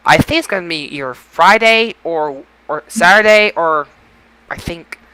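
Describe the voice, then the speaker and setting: Bright, clear young-sounding male podcast host. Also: a little high, no room sound, low-quality recording. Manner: halting, repeats and restarts phrases. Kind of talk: casual podcast sign-off talk.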